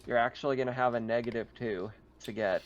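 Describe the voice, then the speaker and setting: A man's voice: mid-range voice, steady tone, quick pace, muffled recording, a little hiss or hum. A man speaking casually as game master, narrating a tabletop roleplaying game. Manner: casual, conversational.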